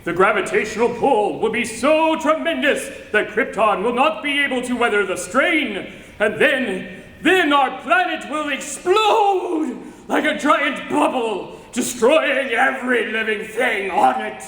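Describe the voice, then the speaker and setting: Guy doing intense doomsday scientist for a radio drama, real high sharp voice, echoey hall. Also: animated, unhurried, exaggerated voice. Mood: dire, impassioned. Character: grave, impassioned male scientist of a doomed planet. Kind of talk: 1940s-style radio acting.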